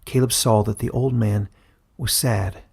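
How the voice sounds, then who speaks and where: A man narrating a scripted fantasy story as an audiobook, in a measured storytelling manner. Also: lowish voice, steady tone, vocal fry, darkish tone, slightly rough.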